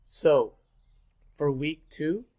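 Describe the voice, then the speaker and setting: A man in his thirties, a little sick and hoarse, giving a pre-recorded online class as instructor, relaxed and full of fillers. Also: on the high side, extremely slow. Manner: relaxed, filler-heavy, repeats "go ahead". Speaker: university instructor. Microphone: webcam in corner of screen recording.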